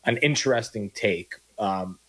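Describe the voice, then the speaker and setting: A man's voice: lowish voice, measured pace, bright, forceful tone, low-quality recording. A man co-hosting a sports radio show, chatting casually and reading out listener texts on a studio mic. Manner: conversational, reads listener texts.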